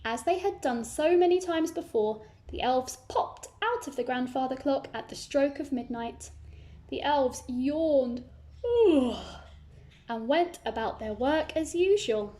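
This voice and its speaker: Woman, high voice, slow pace, children's read-aloud, expressive storyteller, simple child-directed phrasing.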